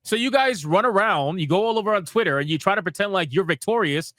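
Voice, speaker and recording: High-pitched man ranting sarcastically. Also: animated, quick pace, a bit bright, dry recording, clean studio sound. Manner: sweary, slangy, emphatic, repeats phrases for effect; sarcastic, mocking. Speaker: host of a gaming news livestream. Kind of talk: casual ranting commentary.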